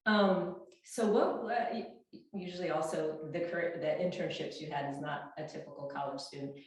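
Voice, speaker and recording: A woman's voice: low voice, fairly even intonation, normal speed, a little hiss or hum. A woman in her fifties hosting a casual interview. She talks in a chatty way, often restarts her sentences and uses fillers, and speaks into a small clip-on mic. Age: 50s. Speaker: fitness coach and interview host. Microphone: small clip-on mic shared between speakers. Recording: very echoey room.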